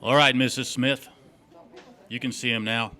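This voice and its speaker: An actor playing a wry, probing, coolly skeptical district attorney in a noir stage drama. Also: male voice, mid-range voice, steady tone, moderate pace, bright, forceful tone, close to an everyday voice.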